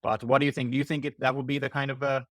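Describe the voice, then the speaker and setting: A man's voice: mid-range voice, flat delivery, very fast, a bit bright, no echo. A man hosting a conversational interview, putting questions in a thoughtful, tentative way. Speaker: podcast host, interviewer.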